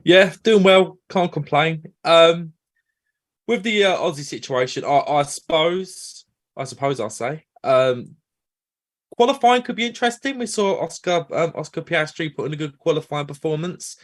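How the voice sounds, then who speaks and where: A man co-hosting a podcast, relaxed and talking with some hesitant fillers. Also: a little high, normal speed. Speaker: podcast co-host. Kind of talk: casual podcast chat.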